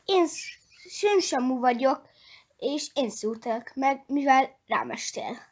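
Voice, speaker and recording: A tiny hedgehog with a very high, childlike voice, as a woman reads it aloud. It talks timidly and gently, sometimes tripping over its words. A soft storybook creature. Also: lively intonation. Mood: startled, sheepish. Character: small shy sleepy hedgehog, child-like. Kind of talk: storybook character voice.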